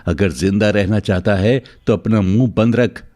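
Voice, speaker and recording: A cursed werewolf in human form acted by a man, fairly low in pitch. He is bitter and menacing, speaking in dramatic threats and accusations for a cartoon fairy tale. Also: unhurried, mellow, natural voice.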